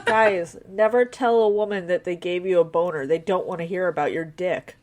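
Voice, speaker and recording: A woman's voice: fairly low pitch, moderate pace. A woman in her late thirties co-hosting a podcast in easygoing, dry casual chat. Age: 30s. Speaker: podcast co-host.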